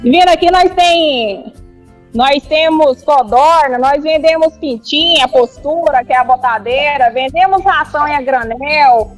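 A woman's voice: high-pitched, measured pace, soft background music. A woman from Goiás with a Goiás accent, a store representative talking in a casual rural way as a radio guest. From Goiás, Brazil. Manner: rural colloquial speech.

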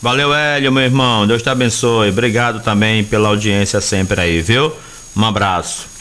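A lowish male voice, speaking as a radio host. He talks in a calm, reassuring way and often blesses his listeners. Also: moderate pace, slight background noise. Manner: warm, reassuring, religious blessings. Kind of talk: call-in radio show.

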